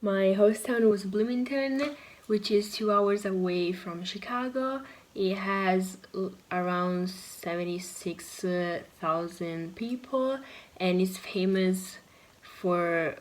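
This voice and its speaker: An eighteen-year-old Italian woman with an Italian accent, chatting casually about being an exchange student and pausing to search for words. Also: mid-range voice, poor audio. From Italy. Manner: unhurried, pauses to search for words. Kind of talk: casual vlog chat.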